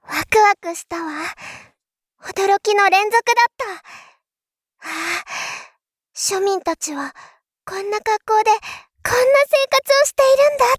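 A woman playing a naive, eager young princess, speaking in a girlish, expressive, confiding way in a Japanese voice drama monologue. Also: extremely high pitch, measured pace, long pauses, no room sound, studio quality. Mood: puzzled, concerned.

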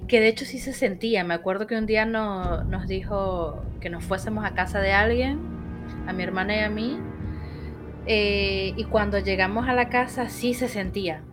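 A woman from Venezuela with a Venezuelan accent, a guest telling a paranormal story in a casual, lively way on a live stream. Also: mid-range voice, moderate pace, music in the background. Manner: lively, laughing, animated retelling. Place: home, lives in Buenos Aires.

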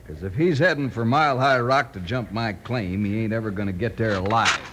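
A man's voice: on the low side, brisk, natural voice. A man playing a suspicious, threatening American stranger in an old radio Western, brusque in manner. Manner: brusque, menacing. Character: suspicious, threatening stranger guarding his mining claim. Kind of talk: 1950s Western radio serial acting. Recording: noisy, crackly old radio recording.

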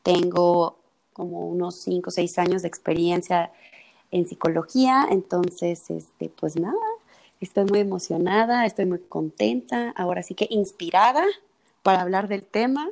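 A woman's voice: on the low side, normal speed, vocal fry, a little mellow. A woman in her twenties from El Salvador, a psychologist guest, explaining things in a friendly, casual way with fillers. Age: 20s. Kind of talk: casual podcast conversation.

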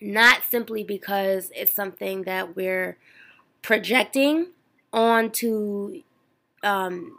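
A woman speaking as a podcast co-host in a casual, measured discussion, choosing her words carefully. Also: medium pitch, pushed and bright.

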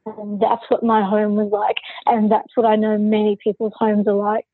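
A woman's voice: mid-range voice, completely flat delivery, moderate pace, husky, no echo, narrow, tinny audio. A woman who is a podcast guest, telling her personal story candidly and forthrightly in an interview.

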